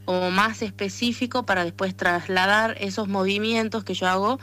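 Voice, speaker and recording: Female athlete interviewee, northern Argentine accent, bright tone, mid pitch, conversational. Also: steady tone, moderate pace. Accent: northern Argentine, Tucumán. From Tucumán, northern Argentina. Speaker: interviewed athlete, arm wrestler and association president. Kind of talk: conversational radio interview answers.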